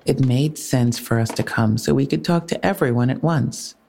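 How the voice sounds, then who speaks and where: A woman playing a composed police officer in an audio drama, measured and polite but unyielding. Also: very deep voice, creaky, mellow, slight background noise. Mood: firm, composed. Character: firm, composed female police officer questioning a witness. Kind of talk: naturalistic audio drama dialogue.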